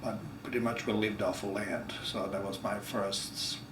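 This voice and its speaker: A man in his forties with a Croatian accent talks through a farm conversation, stopping and restarting his words. His voice sits a little low. He is caught from some way off in an echoey, noisy room. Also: little pitch movement, distant mic. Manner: halting, repeats words, laughs readily. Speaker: farm vlog co-host. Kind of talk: casual conversation.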